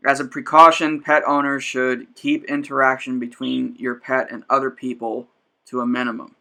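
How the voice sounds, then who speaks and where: Young male podcast host, halting and filler-heavy, bright but flat-pitched. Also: gravelly. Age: 20s. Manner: hesitant, many fillers. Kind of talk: casual group chat.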